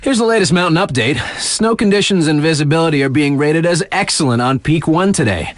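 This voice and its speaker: A man's voice: a little high, lively intonation, fairly quick, dry recording, lightly acted. An actor playing a laid-back, wry male radio DJ in a video game, talking in casual slang to hype up his listeners. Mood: upbeat, informative.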